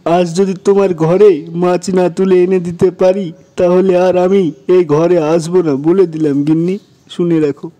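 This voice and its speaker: A man's voice: high voice, flat delivery, unhurried, mellow. A man voicing a poor, emotional cowherd father in a cartoon, with a plaintive, melodramatic delivery. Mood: resolute. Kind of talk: Bengali moral-story cartoon dialogue.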